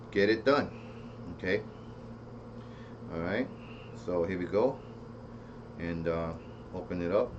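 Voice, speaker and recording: Dull lo-fi recording, rambling man demoing gear. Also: lowish voice. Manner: rambling, thinking aloud, repeats himself. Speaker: product reviewer. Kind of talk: casual unscripted product demo. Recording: dull lo-fi sound with faint noise.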